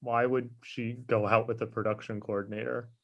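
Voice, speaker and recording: A man's voice: mid-range voice, level tone, moderate pace, muffled recording, close to an everyday voice. A man playing a cocky, mocking eighteen-year-old intern with snide, slangy delivery in a comedy table read.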